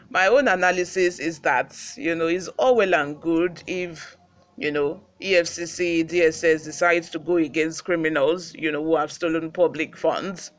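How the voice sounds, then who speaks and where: A man's voice: fairly high pitch, a bit bright. A man with a Nigerian accent, hosting a news channel with read reports and informal commentary.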